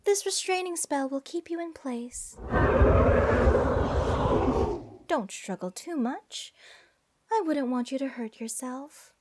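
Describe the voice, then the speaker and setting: Woman acting a high-voiced, giddy, unhinged lady mage. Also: animated, unhurried, very noisy. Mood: playfully taunting. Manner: breathy gasps, gushing, rambling, sweetly menacing. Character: giddy, unhinged female mage who adores cursed monsters. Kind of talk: fantasy ASMR roleplay acting.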